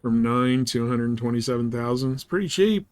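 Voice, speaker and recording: A man's voice: mid-range voice, lively intonation, fairly quick, darkish tone, clean tone. Casual man streaming a game. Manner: laid-back, informal, colloquial. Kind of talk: casual gameplay chat with stream viewers.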